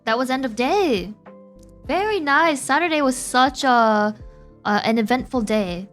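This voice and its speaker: Bubbly, high-voiced young woman streamer. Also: unhurried, faint music behind. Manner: excitable, giggly, chatty with viewers. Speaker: VTuber streamer. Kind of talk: casual game-stream commentary.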